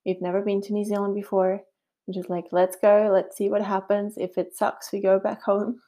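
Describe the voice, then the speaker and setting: A woman's voice: mid-range voice, little pitch movement, normal speed, warm tone. A middle-aged woman from Switzerland with a Swiss German accent, hosting a casual solo podcast in a chatty, upbeat way with frequent fillers. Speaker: podcast host.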